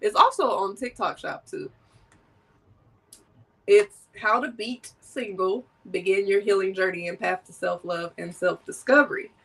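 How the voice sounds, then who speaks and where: A woman's voice: medium pitch, measured pace, gravelly, distant mic, poor audio. Woman host, a bit nasal from a cold. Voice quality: animated and laughing often. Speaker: podcast host. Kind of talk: casual conversation.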